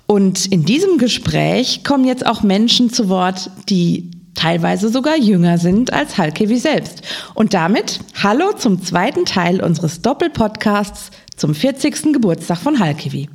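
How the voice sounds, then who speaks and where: A woman moderating a podcast interview, talking in a clear and friendly way. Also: medium pitch, a little mellow. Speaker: podcast host.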